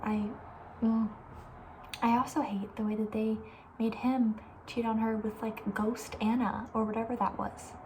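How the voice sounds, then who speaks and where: A woman speaking softly with breathy, near-whispered edges, chatting casually and rambling with playful opinions as an ASMR host. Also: fairly high pitch, little pitch movement, a little slow, slight background noise, rough recording. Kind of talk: soft-spoken ASMR casual chat.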